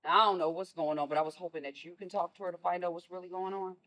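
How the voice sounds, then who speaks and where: A woman's voice: on the low side, steady tone, fast talker, close to an everyday voice. Woman doing a strict mom in a stage play, protective, scolds and keeps firing questions. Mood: worried, annoyed. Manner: forceful, scolding, rhetorical questions. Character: stern, protective mother of a college son.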